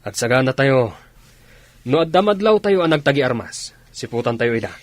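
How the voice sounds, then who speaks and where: An actor with a Filipino accent playing a firm, alert military officer in a radio drama. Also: male voice, mid-range voice, big pitch swings, brisk, dry recording, close to an everyday voice. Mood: firm, urgent. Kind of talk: Ilocano radio drama acting.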